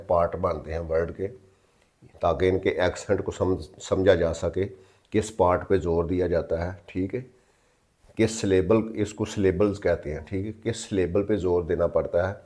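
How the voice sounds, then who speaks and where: A man's voice: low-pitched, a little mellow. A man teaching English grammar in a patient, repetitive way, spelling words out letter by letter and checking in often with listeners. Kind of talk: explanatory lesson in mixed Hindi-Urdu and English.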